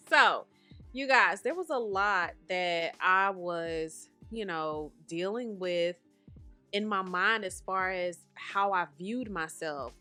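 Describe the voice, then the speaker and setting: A woman in her thirties hosts a podcast from home, chatting casually and calling her listeners honey and baby. Her voice is bright, with a slightly nasal, congested edge today. Faint music plays softly behind her. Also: on the low side. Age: 30s. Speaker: podcast host.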